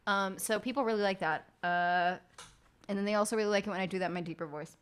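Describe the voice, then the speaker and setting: A woman's voice: mid-range voice, steady tone, fast talker, smooth. A woman streamer and podcast host, chatting casually and rambling with lots of fillers and swearing as she works late at night. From outside the United States. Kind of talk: casual late-night chat while working.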